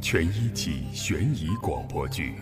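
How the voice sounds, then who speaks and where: A man making a dramatic scripted title announcement, with long reverb under loud music. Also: sits low, big pitch swings, measured pace.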